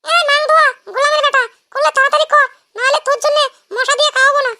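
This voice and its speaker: A performer as a shrill, scolding cartoon wife. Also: little pitch movement, very fast, bright, forceful tone, heavily acted. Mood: scolding, taunting. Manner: taunting, brash, scolding. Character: scolding, sharp-tongued village wife in a comic cartoon. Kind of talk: comic cartoon dialogue.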